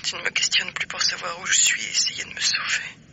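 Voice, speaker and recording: An actress playing an anguished, lovelorn woman bidding farewell in a 1950s French radio drama, breathy and halting, on a noisy old recording. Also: on the low side, fairly even intonation, pushed and bright, in a whisper, exaggerated voice. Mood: bitter, resigned.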